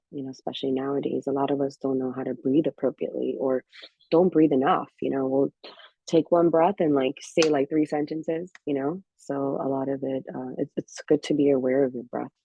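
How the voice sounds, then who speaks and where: A woman guest on a podcast explains health practices in an earnest, conversational way, restarting phrases as she goes. Her voice is gravelly and husky with a dark, mellow tone. The recording is dull and lo-fi, and very dry. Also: extremely low pitch, dry recording. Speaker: acupuncturist guest.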